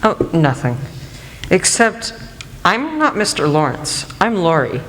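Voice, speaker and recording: A woman's voice: lowish voice, sing-song, takes it slowly, a bit bright, exaggerated voice. Shy teenage boy, echoey hall acted by a woman. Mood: hesitant, shy. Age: teenager or young adult. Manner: hesitant, courteous. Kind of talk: radio play acting. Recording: echoey hall, faint noise.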